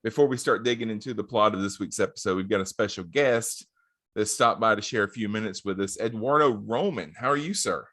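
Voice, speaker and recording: Low-voiced podcast host, dry close sound. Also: male voice, fairly quick, a bit bright, no echo. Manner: friendly interviewer with many short acknowledgements. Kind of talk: casual podcast interview.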